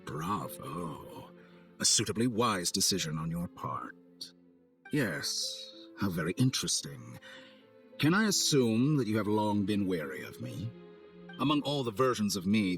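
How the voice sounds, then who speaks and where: Casting: coldly amused villain scientist, lowish voice, formal and theatrical, prominent game score underneath. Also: male voice, a bit bright, heavily acted. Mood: smug, composed. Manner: theatrical, condescending, measured and formal. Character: suave, arrogant male villain scientist, coldly amused schemer. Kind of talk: video game cutscene acting. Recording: studio voice over game music.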